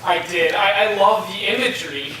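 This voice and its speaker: A man's voice: sits high, normal speed, close to an everyday voice. A man playing an earnest, agreeable young student crewman in a staged play reading. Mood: admiring. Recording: echoey hall, far mic, background noise.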